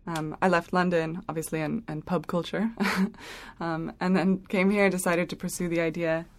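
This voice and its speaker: A woman's voice: fairly low pitch, level tone, normal speed. A young woman talking about founding her project, chatty with many fillers. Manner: chatty, filler-heavy with light laughs. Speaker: interview guest, project founder. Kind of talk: casual interview answers.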